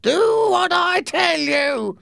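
Shrill, croaking sinister blind beggar. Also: male voice, expressive, pushed and bright, no room sound, clean studio sound, heavily acted. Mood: harsh, commanding. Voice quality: high, creaky, strained. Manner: slow, drawn-out commands. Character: sinister, commanding, ugly old blind beggar man.

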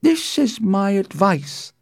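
A performer voicing a well-meaning female nurse in a storybook reading, speaking slowly and deliberately with practical advice. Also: high-pitched, big pitch swings, dry recording, studio quality, pitched above the performer's own voice, heavily acted. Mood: reassuring, advising. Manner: slow, deliberate.

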